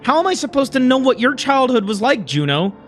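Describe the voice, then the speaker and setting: A man's voice: sits high, sing-song, moderate pace. A man playing a bitter, insecure young man in a dramatic story, speaking with intense emotion. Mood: resentful. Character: bitter, insecure young human man, angry and self-loathing.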